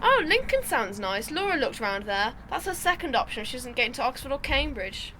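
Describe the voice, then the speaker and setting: Woman playing a high, bright, genteel aunt. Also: very animated, fairly quick, slight background noise, heavily acted, poor audio. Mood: gushing, proud. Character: well-off, chatty, proud middle-aged aunt. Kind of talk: radio drama acting.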